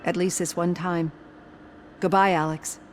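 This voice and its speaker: Woman as a low-voiced, caring doctor. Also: level tone, measured pace, a little hiss or hum, close to an everyday voice. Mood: earnest. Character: caring, articulate female doctor, composed and earnest. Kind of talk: video game cutscene acting.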